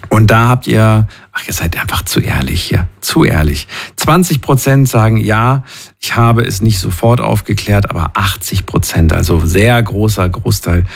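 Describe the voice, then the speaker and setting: Guy hosting radio call-in, gravelly husky voice, super informal chatty. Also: on the low side, moderate pace. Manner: informal, chatty, addresses listeners directly. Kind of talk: casual chat, reading listener messages aloud.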